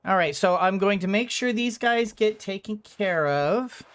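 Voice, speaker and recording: A gaming video host giving casual let's-play commentary, thinking out loud in fragments with playful asides. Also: mid-range voice, lively intonation, a little slow.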